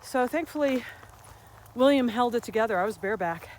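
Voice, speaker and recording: A woman's voice: on the high side, fairly quick, a little hiss or hum. A woman in her fifties, chatting animatedly in a casual vlog as she walks uphill outdoors, a little out of breath. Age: 50s. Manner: chatty, animated storytelling with laughs. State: slightly out of breath from walking uphill. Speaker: vlogger and health coach. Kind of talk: casual vlog while walking. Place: outdoors on a rural uphill trail.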